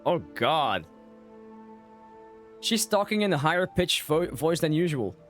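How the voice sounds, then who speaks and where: Guy streaming a game, slightly high voice, wry offhand chat, faint game music behind. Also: very animated, a little slow, a bit bright. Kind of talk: casual chat with stream viewers.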